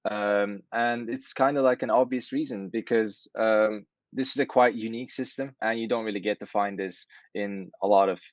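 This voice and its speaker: A man's voice: fairly low pitch, fairly even intonation, moderate pace, hardly any pauses, no room sound. A man, giving casual interview answers as a student over video call. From Turkey. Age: 20s. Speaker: student guest. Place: indoor room, video call. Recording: dull video-call audio.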